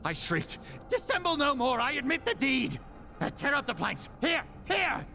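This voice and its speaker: A man playing a mad, cunning murderer confessing in a dramatic gothic horror reading, theatrical, shifting between hushed tones and cries. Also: very high voice, huge pitch swings, normal speed, vocal fry, voice pushed up, heavily acted. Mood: frantic, raving. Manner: theatrical, swinging from hushed whispers to shrieks.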